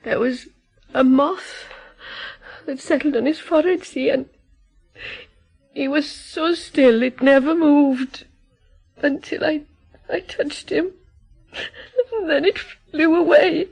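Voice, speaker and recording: An actress with a Welsh accent playing an emotional rural mother of a small boy in a radio drama, in lilting, drawn-out words. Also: high voice, creaky, darkish tone, faint music behind, heavily acted, rough recording. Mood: stunned grief.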